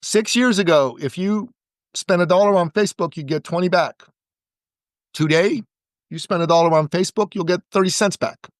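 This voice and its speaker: A man talking as a podcast guest and business coach, giving an energetic pitch and repeating words for emphasis. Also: normal speed, dry recording, studio quality.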